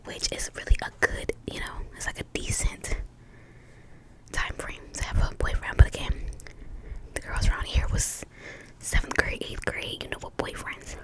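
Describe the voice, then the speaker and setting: American female, 20s, breathless whispered ASMR host, casual and rambling, softly repeats words. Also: normal speed, rough recording. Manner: chatty, long-winded, repeats words softly. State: pregnant and short of breath.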